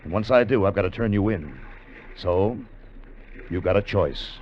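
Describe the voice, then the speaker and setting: Unyielding seafarer, lowish voice, calm, firm, coolly menacing, old radio drama, dull recording. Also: male voice, normal speed. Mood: firm, threatening. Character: calm, shrewd, unyielding seafaring partner turned accuser. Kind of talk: 1950 radio drama acting. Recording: muffled, noisy vintage radio recording.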